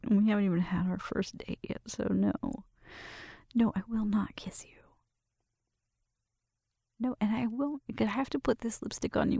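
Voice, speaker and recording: Breathy, whispery flirty roommate played by a woman. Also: on the high side, dry recording, studio quality. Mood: flustered, teasing. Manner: soft whispering mixed with murmured talk, shy and teasing. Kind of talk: ASMR roleplay, intimate one-sided conversation.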